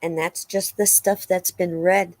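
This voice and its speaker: A woman playing a sympathetic, matter-of-fact police interviewer in a low-key dramatic reading of a transcript. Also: little pitch movement, unhurried, a little mellow, no room sound.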